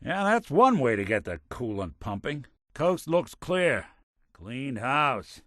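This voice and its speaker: An actor playing a world-weary, wisecracking android detective in a video game, hardboiled but kind, with dry old-fashioned gumshoe delivery. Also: male voice, medium pitch, big pitch swings, slow, fairly bright tone, dry recording. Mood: dry amusement. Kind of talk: game character voice lines.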